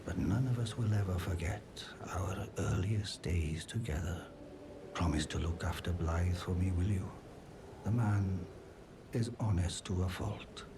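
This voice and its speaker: Old giant war counselor: very low, unhurried, hushed and courteous game voice over noisy ambience. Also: male voice. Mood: wistful, kindly. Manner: courteous, solemn, measured. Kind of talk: video game character dialogue.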